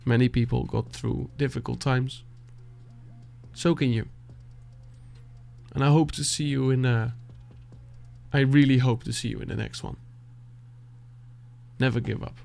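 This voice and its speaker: Dutch man, non-native English, soft halting reflective talk, gravelly fry, mid pitch. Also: fairly even intonation, faint music behind. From Netherlands. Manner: soft, halting, searching for words, sighing. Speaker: beatboxer reacting to music.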